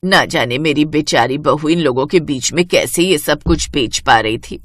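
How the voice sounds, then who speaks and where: A woman's voice: deep voice, normal speed, no room sound, voice pushed down. A woman voicing an elderly mother-in-law who is caring, anxious and stubborn, in a storybook tale. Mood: worried. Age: older.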